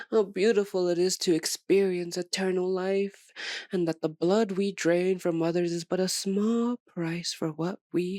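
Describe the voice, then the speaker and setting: Woman acting as a slow, low-voiced sadistic vampire mistress. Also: darkish tone, dry recording, studio quality. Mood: wistful, smug. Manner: slow, theatrical, silky and menacing, often dropping to a whisper. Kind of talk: ASMR roleplay voice acting.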